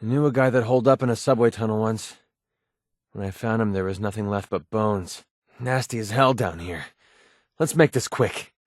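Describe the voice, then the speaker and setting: A man's voice: fairly low pitch, normal speed, no room sound, studio quality, close to an everyday voice. An actor playing a cynical young mercenary gunman in a video game, talking in a casual, sardonic, world-weary way. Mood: wry, matter-of-fact. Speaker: game companion character. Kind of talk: scripted video game dialogue.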